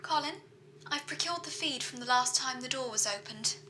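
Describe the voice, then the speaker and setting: A woman playing a courteous, dutiful shipboard AI in a science fiction audio drama, speaking in formal, measured reports. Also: high voice, natural voice. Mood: matter-of-fact. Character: female ship's computer AI, precise, dutiful, courteous. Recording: faint background noise.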